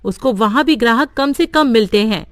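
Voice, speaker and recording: A woman narrating a cartoon story in a plain, steady way. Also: on the high side, moderate pace, no room sound, clean studio sound. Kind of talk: scripted narration.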